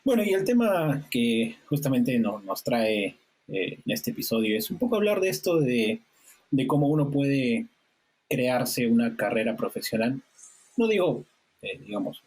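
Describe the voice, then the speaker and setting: A man hosting a podcast interview, talking in a relaxed, friendly way with frequent hesitant fillers. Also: medium pitch, slightly rough. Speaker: podcast host. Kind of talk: casual interview conversation. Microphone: remote call setup.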